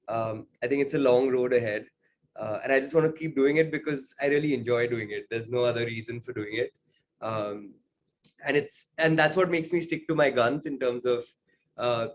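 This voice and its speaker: Reflective man, songwriter guest. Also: mid-range voice. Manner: reflective, with many "uh" and "I think". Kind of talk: interview answers.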